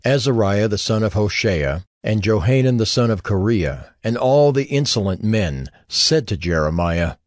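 A man narrating a dramatized audio Bible in a measured, formal storytelling manner. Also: fairly low pitch, lively intonation, no room sound, clean studio sound.

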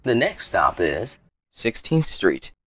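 A man's voice: on the low side, big pitch swings, slow, a bit bright, breathy edge. A man reading scripted subway announcements in a formal way, in segmented phrases.

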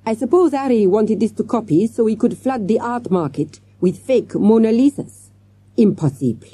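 A woman's voice: fairly high pitch, lively intonation, normal speed, dark tone, breathy edge, dry recording, voice pushed up. A woman voicing a grave, self-assured male French detective inspector in a children's storybook. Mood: assured, firm.

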